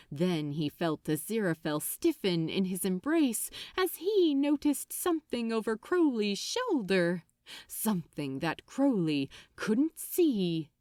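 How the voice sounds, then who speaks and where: A woman narrating fiction like an audiobook, with an expressive, deliberate and suspenseful delivery. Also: medium pitch, no echo, studio quality. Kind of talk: dramatic scripted audiobook narration.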